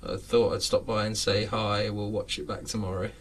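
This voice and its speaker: A man's voice: on the low side, moderate pace, fairly bright tone, distant mic, a little hiss or hum. A man in his late thirties, hosting a casual live chat from his home model railway room, rambling with lots of fillers and frequent chuckles. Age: 30s.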